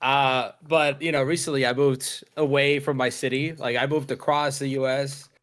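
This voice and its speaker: A man's voice: medium pitch, steady tone, normal speed, fairly bright tone. Laid-back young man from Mexico. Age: young adult. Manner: rambling, laid-back, lots of fillers and restarts. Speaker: podcast guest. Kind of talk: casual chat.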